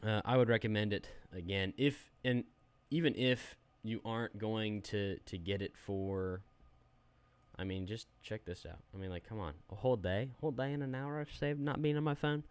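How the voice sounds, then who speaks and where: A man's voice: lowish voice, normal speed, clean tone. A young adult man giving a rambling, self-deprecating, unedited review of a phone app while sharing his screen.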